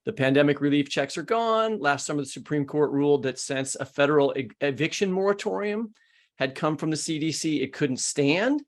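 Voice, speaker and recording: A man's voice: on the high side, expressive, moderate pace. A man with an American accent, hosting a podcast with scripted introductions and interview questions. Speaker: podcast host.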